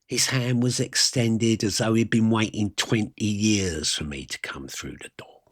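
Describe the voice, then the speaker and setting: A man narrating a first-person story aloud, reading literary prose with dramatic pauses and a husky voice. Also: on the low side, dry recording, clean studio sound.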